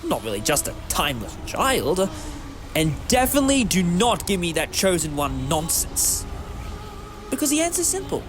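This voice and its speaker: Man acting animated, wry time-travelling hero. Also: sits high, normal speed, music in the background. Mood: candid, persuasive. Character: wry, eccentric time-travelling male hero, modest and moralising. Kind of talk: audio drama acting.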